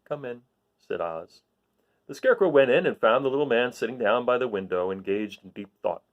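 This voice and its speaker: A man reading a storybook aloud as an expressive narrator. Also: medium pitch, brisk, narrow, tinny audio. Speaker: storybook reader.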